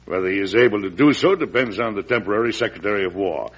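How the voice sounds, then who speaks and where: An actor playing an embattled, indignant US president in an emphatic, oratorical 1940s radio drama style. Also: male voice, fairly low pitch, animated, fast talker, slightly rough. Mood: tense, determined. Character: embattled male US president, defiant, restless and indignant. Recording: muffled vintage radio recording.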